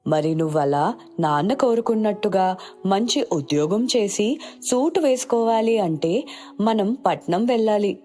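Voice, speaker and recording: A woman's voice: mid-range voice, expressive, measured pace, warm tone, close to an everyday voice. Lady doing poor village mom in a cartoon story, humble n anxious, earnest, bit of music behind. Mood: gentle urging. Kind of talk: dramatized moral story dialogue.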